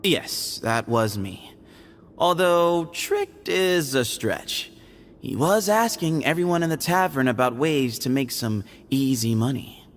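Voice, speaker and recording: A man's voice: mid-range voice, animated, slow, slight background noise. A man playing a sly, easygoing young desert dweller in a video game, breezy, teasing and glib. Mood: sly, casual. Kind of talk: video game character acting.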